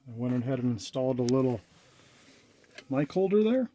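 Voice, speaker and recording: A man talks through his handiwork like a casual vlogger. His delivery is plainspoken and matter-of-fact, at a medium pitch. He records himself on a handheld camera around a parked truck. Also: animated, moderate pace, dark tone. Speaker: vlogger showing a vehicle radio installation. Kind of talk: casual hands-on walkthrough narration.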